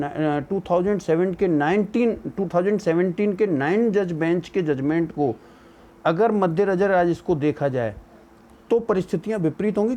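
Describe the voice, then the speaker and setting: Indian male legal explainer, fairly high but soft, mellow voice, informal lecture delivery with English terms mixed in.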